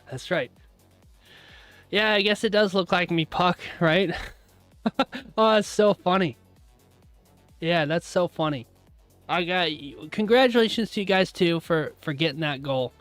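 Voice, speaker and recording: Guy streaming from his desk, kinda high voice, laughs a lot, dry close mic w faint music. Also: a bit bright, no echo. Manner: chatty, laughs often, rambling asides. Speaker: trading livestream host. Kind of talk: casual live-stream chat.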